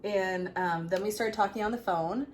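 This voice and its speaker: A woman in her thirties telling a personal story in a casual, chatty, animated way. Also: medium pitch, moderate pace. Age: 30s. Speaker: vlog co-host. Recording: clearly noisy room ambience.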